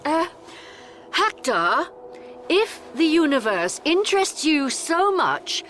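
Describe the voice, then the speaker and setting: A woman's voice: sits high, sing-song, slow, fairly bright tone, a little hiss or hum, heavily acted. An actress playing a clever, earnest woman space traveller in a radio drama, composed and coaxing in manner.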